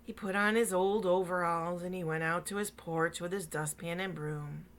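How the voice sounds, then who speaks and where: A woman reading a picture book aloud to children in an animated, dramatic way, dropping into hushed asides. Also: lowish voice, moderate pace, a little hiss or hum. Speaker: children's story reader.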